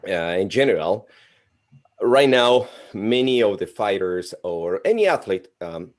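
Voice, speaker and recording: A man from Mexico City with a Mexican Spanish accent hosting an interview in halting English, often restarting his sentences. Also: fairly low pitch, big pitch swings. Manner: halting, with many restarts and filler sounds. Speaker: interview host. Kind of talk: casual interview.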